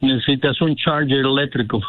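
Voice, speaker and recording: A man's voice: medium pitch, fairly even intonation, a little fast, no room sound. A middle-aged man from Mexico talking politely and patiently on a phone call. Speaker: electrician, prank call victim. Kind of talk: phone conversation. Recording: dull, band-limited phone line on radio.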